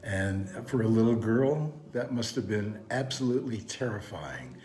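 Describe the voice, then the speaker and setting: Older man, low voice, hesitant and measured, roomy sound. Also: lively intonation, a little mellow. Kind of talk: casual chat. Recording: echoey room with faint music.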